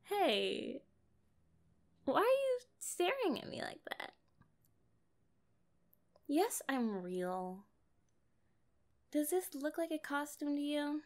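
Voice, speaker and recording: Casting: woman to play sweet, naive mermaid for ASMR roleplay. High voice, swooping intonation, unhurried, playful, chatty delivery. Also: heavily acted. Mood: excited, amused. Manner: playful, chatty, expressive, drawn-out phrasing. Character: kind, bubbly, naive young female mermaid. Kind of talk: ASMR roleplay addressed to the listener.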